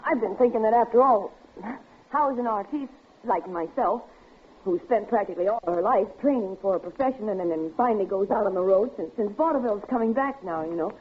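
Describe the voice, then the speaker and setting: An actress playing a young vaudeville acrobatic dancer in a 1950s radio drama, chatty and slangy, often stammering. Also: female voice, mid-range voice, expressive, fairly quick, husky. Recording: old radio broadcast, tinny band.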